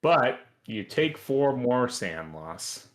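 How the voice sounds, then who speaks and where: A man running a tabletop game session as game master, halting and full of fillers, sometimes muttering to himself. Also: mid-range voice, sing-song.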